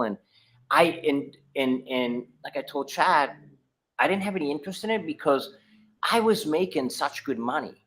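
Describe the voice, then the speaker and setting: A man's voice: medium pitch, sharp tone. A middle-aged man from New England with a Boston accent, telling long stories as a podcast guest, rambling with many restarts. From New England, United States. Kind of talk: casual podcast chat.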